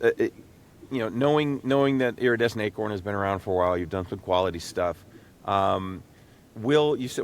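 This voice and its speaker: A man hosting a casual podcast interview, speaking in a halting, folksy way with frequent restarts. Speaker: podcast host. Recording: low rumbling background noise.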